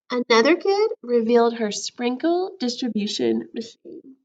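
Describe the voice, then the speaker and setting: A woman reading a children's story aloud in an expressive, animated way, like a school counselor with a class. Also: sits high, muffled recording.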